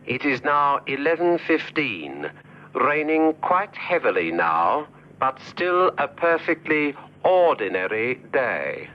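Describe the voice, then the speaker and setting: An actor playing a hypnotic voice on a dictaphone, soothing and deliberately repetitive, in a spy radio drama. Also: male voice, medium pitch, sing-song. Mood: hypnotic, calm. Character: measured male voice on a hypnotic dictaphone recording. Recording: dull old radio drama recording.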